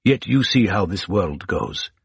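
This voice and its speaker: A man playing a blind older scholar who is wise and witty, speaking with courteous, measured, formal phrasing. The voice sits low and a little husky, with a dry, close sound. It is an audiobook figure. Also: expressive, no room sound, heavily acted. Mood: calm.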